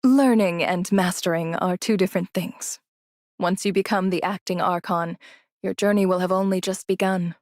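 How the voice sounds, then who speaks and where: A woman playing a poised, authoritative mentor in an anime game, deliberate and instructive in her delivery. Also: lowish voice, steady tone, a little mellow, dry recording, clean studio sound, close to an everyday voice. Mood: assured.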